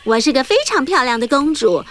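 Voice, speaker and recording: A woman's voice: sits high, animated, brisk, fairly bright tone, a little hiss or hum. A woman voicing a vain, arrogant young princess in a cartoon, boasting in a snobbish way. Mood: boastful. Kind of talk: cartoon dubbing.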